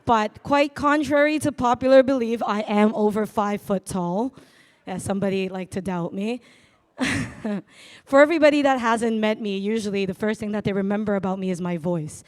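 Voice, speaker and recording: A woman with a raspy voice, loud and brash with self-mocking humor, speaking on stage into a handheld mic as a notary at a business event. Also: medium pitch, moderate pace. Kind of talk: live stage sponsor talk.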